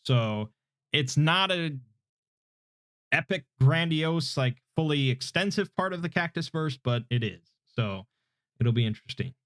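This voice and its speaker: A man's voice: fairly high pitch, expressive, unhurried, fairly bright tone, no echo. A man of thirty answering viewer questions in a casual, hesitant, self-deprecating chat, with a relaxing voice on a slightly muffled desk mic. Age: 30s. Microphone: desk microphone without his usual equalizer, slightly muffled.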